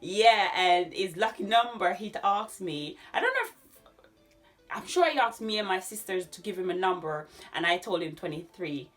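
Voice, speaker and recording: A woman in her thirties with a Jamaican accent, chatting casually to camera in an animated way as she answers questions. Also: fairly low pitch, moderate pace, soft background music. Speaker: YouTube vlogger. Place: small furnished room at home.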